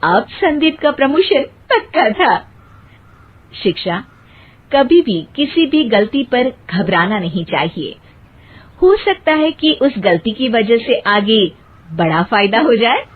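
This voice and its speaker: A woman tells a moral cartoon story slowly, with a slightly husky voice. The delivery is scripted and steady. The recording is thin and phone-like. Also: fairly high pitch. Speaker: narrator. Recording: tinny phone-like sound.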